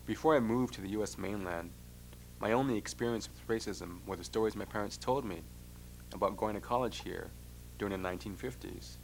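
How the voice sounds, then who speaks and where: A man from Hawaii reading a scripted, reflective documentary narration in a measured way. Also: fairly low pitch, vocal fry. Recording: noisy 1990s amateur video soundtrack.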